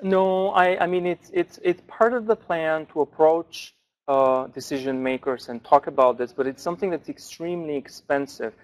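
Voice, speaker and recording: Halting, higher-pitched male researcher. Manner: thoughtful, many fillers and restarts. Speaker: researcher answering audience questions. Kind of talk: academic Q&A at a forum.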